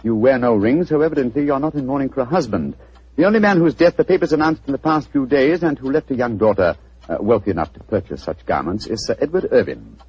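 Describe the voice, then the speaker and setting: Keen sleuth, husky, very animated delivery, lo-fi 1940s radio. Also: male voice, mid-range voice, fast talker. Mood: confident. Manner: crisp, confident deductions with small hesitations. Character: sharp, brisk male detective, middle-aged. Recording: dull old radio recording.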